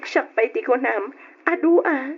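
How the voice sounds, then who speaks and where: A woman's voice: high-pitched, moderate pace, husky, phone-quality sound. An actress with an Ilocano accent playing a sweet, grateful young student girlfriend in an old radio drama. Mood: sweet, agreeable.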